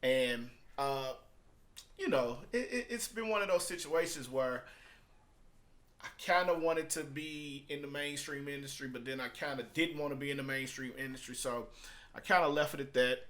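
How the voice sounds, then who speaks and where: Halting, emphatic man vlogging casually. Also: mid-range voice, a bit bright. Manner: halting, repetitive, emphatic, with swings between subdued and hyped delivery. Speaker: music producer and entrepreneur hosting a video book review. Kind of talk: casual unscripted vlog monologue.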